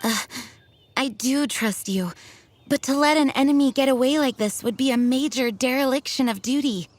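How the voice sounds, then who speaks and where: A woman playing a noble, dutiful young knight commander in a video game, speaking formally and earnestly in measured sentences. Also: high voice, a little hiss or hum, lightly acted. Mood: urgent, determined. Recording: music behind the voice.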